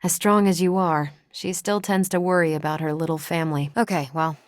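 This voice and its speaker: Low-voiced American superheroine, voiced by an actress. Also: female voice, steady tone, fairly quick, no echo, natural voice. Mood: earnest, assured. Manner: casual, wry, dry humor. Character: confident wry military superheroine, adult woman, loyal and brave. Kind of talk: video game character dialogue.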